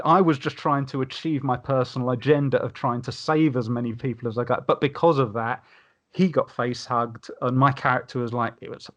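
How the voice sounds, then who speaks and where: A man's voice: mid-range voice, fairly bright tone. Rambling man in casual chat. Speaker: podcast guest reviewing a tabletop game. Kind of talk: casual chat, recounting game anecdotes.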